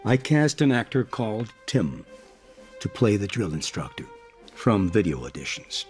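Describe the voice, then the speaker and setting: Radio drama: exacting veteran American film director, low-pitched, deliberate, probing, with widely varied intonation. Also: male voice, sing-song, a little mellow, soft background music. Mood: measured, probing. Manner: deliberate, probing, punctuates with checking questions. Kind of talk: naturalistic radio drama acting.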